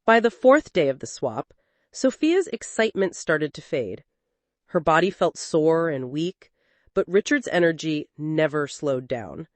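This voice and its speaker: A woman's voice: sits low, sing-song, a little slow, dry recording. A woman narrating a scripted dramatic story as a storyteller.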